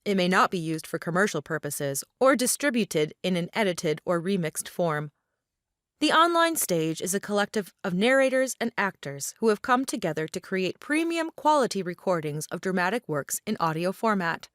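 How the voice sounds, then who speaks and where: A woman's voice: lowish voice, big pitch swings, moderate pace, no echo, studio quality. A woman reading scripted narration and stage directions for an audio play.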